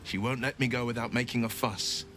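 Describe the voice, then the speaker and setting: A man's voice: medium pitch, fairly even intonation, brisk, over music, close to an everyday voice. A man playing an earnest, resolute young nobleman turned warlock adventurer in a dramatic video game, speaking in a formal, sincere way. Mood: wary, sincere.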